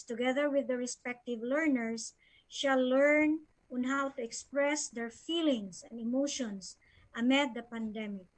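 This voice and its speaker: High-pitched Filipino woman presenting formally. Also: poor audio. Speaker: education official presenting a plan. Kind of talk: formal presentation read from slides.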